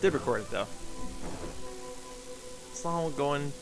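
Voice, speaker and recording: A man's voice: on the high side, slow, over music. A man doing casual live game commentary as a streamer, rambling and thinking aloud.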